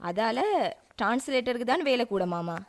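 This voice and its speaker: An actress with a Sri Lankan Tamil accent plays a busy young translator in a radio drama, brisk and hurried. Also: female voice, a little high, expressive, very noisy.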